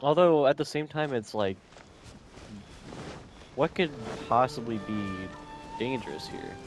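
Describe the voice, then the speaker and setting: A man's voice: medium pitch, lively intonation, unhurried, music in the background. A man giving casual gameplay commentary as a streamer, speaking in an informal, slangy way. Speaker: game streamer.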